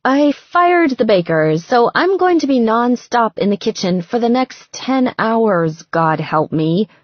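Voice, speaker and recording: A woman's voice: fairly high pitch, very animated, a little slow, no room sound, muffled recording, pitched above the performer's own voice. A woman voicing a frantic, bossy, dramatic bride-to-be in an audiobook, emphatic and slangy. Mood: gushing, pleading. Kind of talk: audiobook character dialogue.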